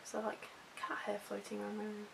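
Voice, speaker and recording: A woman's voice: mid-range voice, monotone, a little fast, vocal fry. A young woman with a British accent chatting casually and informally in a personal vlog, rambling a little and poking fun at herself. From United Kingdom. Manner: informal, rambling, self-deprecating. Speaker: YouTube vlogger. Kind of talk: casual personal chat to camera.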